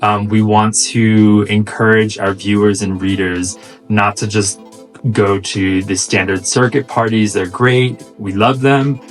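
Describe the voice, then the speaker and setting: A man's voice: fairly low pitch, measured pace, soft background music. A man in his thirties from the United States with an American accent, co-hosting a casual travel chat in a fluent, easygoing way. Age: 30s. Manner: fluent, easygoing, relaxed storytelling. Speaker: co-host.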